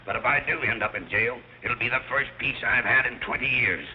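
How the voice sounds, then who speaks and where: A man's voice: medium pitch, a little fast, lightly acted. An actor playing a blunt, exasperated middle-aged father with dry sarcasm in a 1930s-style film comedy. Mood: grimly resolved. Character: gruff, exasperated wealthy father losing his fortune. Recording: muffled, noisy old film soundtrack.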